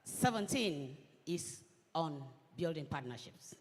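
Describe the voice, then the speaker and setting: A woman from Cameroon, speaking as a panelist in measured, earnest phrases. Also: deep voice, animated. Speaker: panelist, women's organization coordinator. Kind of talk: conference panel remarks. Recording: long reverb of a large hall.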